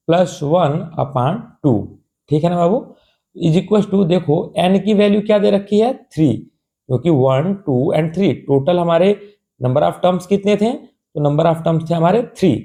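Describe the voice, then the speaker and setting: A male tutor, hoarse from a cold, explains a math problem step by step. He coaxes his students along, asks rhetorical questions and checks in often. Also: a little high, warm tone. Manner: calls students "babu" in a patient, coaxing tone.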